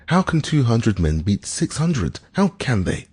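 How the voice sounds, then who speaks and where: A man's voice: mid-range voice, very animated, measured pace, darkish tone, breathy edge, voice pushed up. A man voicing an angry, bewildered, impatient defeated man in a storybook reading, speaking in exclamations. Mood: bewildered, frantic.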